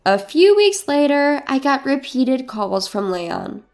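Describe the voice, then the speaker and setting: A woman's voice: high-pitched, big pitch swings, slow pace, creaky, a little mellow. A woman reading a scripted first-person dramatic story as its narrator. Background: background music.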